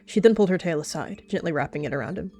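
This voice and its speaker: A woman's voice: fairly low pitch, animated, racing, mellow, faint music behind. A woman narrating a cat roleplay story and speaking her character's lines in quotes.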